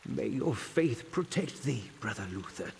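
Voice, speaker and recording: A weary, haunted monk in a game cutscene, solemn and afraid. The speech is slow and expressive, creaking at the edges, over a clear rain-like noise. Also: male voice, medium pitch. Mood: resigned, troubled. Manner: grave, troubled, archaic solemn speech. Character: fearful, weary monk who suspects a deadly conspiracy. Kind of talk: video game cutscene acting.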